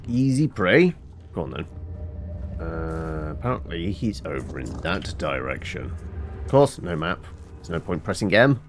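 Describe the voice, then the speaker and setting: A man's voice: sits low, very animated, unhurried, faint music behind. A man giving casual, wry gameplay commentary with offhand asides as he streams. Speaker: game streamer.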